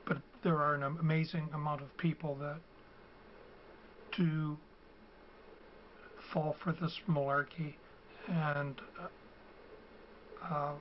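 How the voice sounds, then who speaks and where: An older man, hoarse from a cold and losing his voice. He talks slowly and haltingly, filling pauses with ums and uhs, in a slightly high voice. The recording is dull and lo-fi, with a faint hum of ambient noise behind him. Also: little pitch movement. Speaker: video host. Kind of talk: casual explanatory talk.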